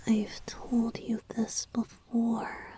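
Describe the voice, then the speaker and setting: A woman voicing a tender, playful, lovesick young male bard spirit in a romantic ASMR roleplay, speaking in a breathy, whispery, intimate way. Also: on the high side, completely flat delivery, mellow. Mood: tender, intense. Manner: tender, intimate, slow and hesitant.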